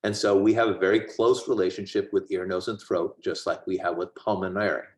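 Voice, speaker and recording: Low-voiced man giving a medical talk. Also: flat delivery, brisk, roomy sound. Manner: plain explanatory, conversational. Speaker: gastroenterologist lecturer. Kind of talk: medical lecture.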